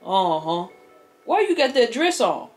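Woman putting on a high-pitched rambling American granny. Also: very animated, unhurried, a little mellow. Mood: defensive, sassy. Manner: stammering, repeats words, folksy. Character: rambling, sassy old grandmother telling tall tales. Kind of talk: improvised comedic storytelling. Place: living room couch, indoors. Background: background music.

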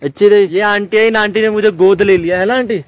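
Excited young man vlogging outdoors. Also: high voice, fast, no room sound. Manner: excited, chatty, calls out to viewers. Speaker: travel vlogger. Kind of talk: casual camping vlog. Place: outdoors on a mountain meadow by a campfire. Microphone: action camera.

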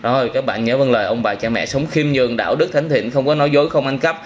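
A man in his thirties from Vietnam giving excited gameplay commentary for children, exclaiming, repeating phrases and often addressing his viewers. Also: mid-range voice, fast talker, muffled recording. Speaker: video host playing a vehicle crash game for children. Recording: clearly noisy, game vehicle sounds behind.

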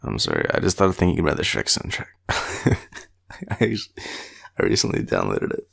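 Deep, gravelly, sleepy Australian man. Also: huge pitch swings, fairly bright tone. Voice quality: low, gravelly, husky. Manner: sleepy, playful, teasing, halting. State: tired. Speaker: boyfriend roleplay audio performer. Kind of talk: intimate boyfriend roleplay, casual sleepy rambling.

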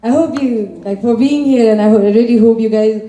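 Dark-toned woman speaking in echoey hall. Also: a little high, brisk. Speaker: co-founder and senior dance instructor. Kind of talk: public thank-you speech. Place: large indoor hall at a podium.

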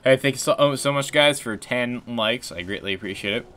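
A young man with a deep voice chatting on a gaming stream, low-key and rambling with fillers and restarts. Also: brisk, a bit bright. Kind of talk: casual gaming stream chat.

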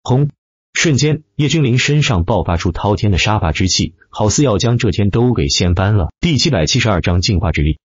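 A man's voice: lowish voice, lively intonation, a little fast, slightly rough, no room sound, muffled recording. A man reading a dramatic scripted story as an audiobook narrator.

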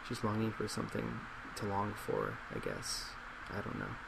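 A man in his twenties speaking in a soft ASMR role play as a psychologist, hesitant, measured and reassuring, recorded in a small office. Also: fairly low pitch, very animated, gravelly. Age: 20s. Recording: loud laptop fan noise.

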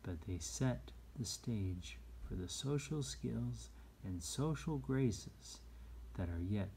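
A man's voice: on the low side, animated, slow, creaky, dark tone, a little hiss or hum, rough recording. A man reading a picture book aloud to young children in simple, encouraging phrases. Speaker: read-aloud storyteller for children.

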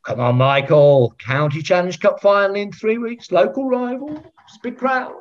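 An actor playing a pompous, eccentric veteran football referee, theatrical and self-righteous, on a video call. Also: male voice, high-pitched, moderate pace, close to an everyday voice. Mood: eager, self-important. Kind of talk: filmed comedy acting on a video call. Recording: dull, lo-fi video call audio.

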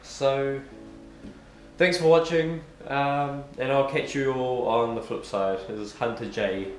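A young man, croaky with a cold, chatting upbeat to camera in his living room, filming at arm's length. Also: mid-range voice, steady tone, slow, soft background music. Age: young adult. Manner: chatty, upbeat, filler-heavy. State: hoarse with a cold. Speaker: vlogger. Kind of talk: casual vlog chat to camera. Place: living room at home, indoors.

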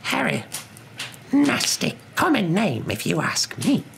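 A man's voice: high voice, wildly swooping pitch, slow, vocal fry, fairly bright tone, slight background noise, pitched above the performer's own voice, heavily acted. A man voicing a snippy, prim middle-aged suburban wife, stiff, curt and disapproving, in a storybook reading. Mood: disdainful.

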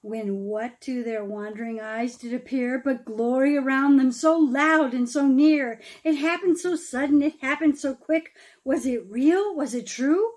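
A middle-aged woman reads a rhyming children's story aloud in an expressive, dramatic manner, pausing for emphasis. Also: high-pitched. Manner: dramatic, emphatic phrasing with pauses, explaining asides to children. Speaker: pastor reading a children's story.

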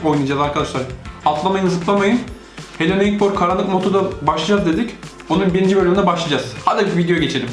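A man's voice: high voice, quick pace. Man reacting casually, roomy sound. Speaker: host, father reacting with his child. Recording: roomy home recording, music behind.

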